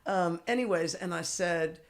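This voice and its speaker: A woman's voice: lowish voice, measured pace. A woman guest on a podcast, telling stories in casual chat with lots of swearing and laughter. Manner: profane, laughing, animated storyteller.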